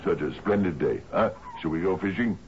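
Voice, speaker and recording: An actor in a radio drama playing an anxious, genial older island captain, halting and stammering as he pleads. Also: deep voice, darkish tone, natural voice. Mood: genial, coaxing. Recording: muffled, hissy old radio broadcast.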